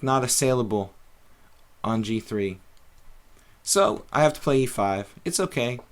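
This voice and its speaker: Relaxed rambling man streaming chess. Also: medium pitch. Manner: relaxed, rambling, thinks aloud with fillers. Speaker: chess streamer commentating his own games. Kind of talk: casual live-stream commentary while playing.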